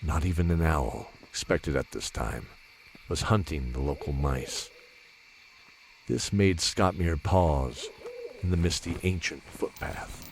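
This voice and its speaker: A man narrating a scripted fantasy audio drama in measured storytelling style. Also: very deep voice, a little hiss or hum. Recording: loud music behind narration.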